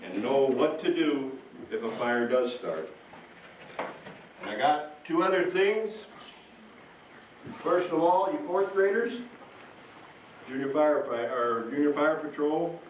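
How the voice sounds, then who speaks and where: A man's voice: fairly high pitch, expressive, unhurried, pauses often. A man giving an informal, instructive safety talk to children as a firefighter, often checking that they follow. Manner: informal, instructive, checks understanding with frequent questions. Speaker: firefighter hosting a fire-safety show for schoolchildren. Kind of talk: live educational presentation to children. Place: echoing indoor hall, live event. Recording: echoey hall from far off, faint noise.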